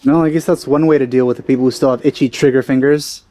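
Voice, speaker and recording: A man's voice: medium pitch, steady tone, fast talker, warm tone. A man casually commenting on a video game as a let's play host.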